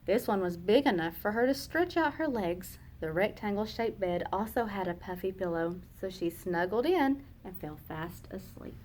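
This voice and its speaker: A woman teacher reading a children's picture book aloud, slow and expressive for young listeners. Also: medium pitch, a little hiss or hum.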